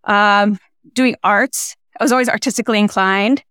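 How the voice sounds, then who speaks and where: Reflective woman, smooth and dry. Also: mid-range voice, steady tone, a bit bright, no room sound. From United States, small-town Illinois. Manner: reflective, halting with many restarts and fillers. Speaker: filmmaker guest. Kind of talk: casual interview conversation.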